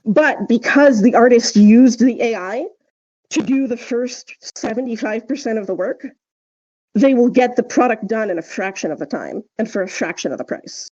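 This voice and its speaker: A woman's voice: fairly high pitch, normal speed, darkish tone, lo-fi sound. A woman co-hosting a casual podcast discussion, explaining her points emphatically and often checking with a tag question. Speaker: podcast co-host.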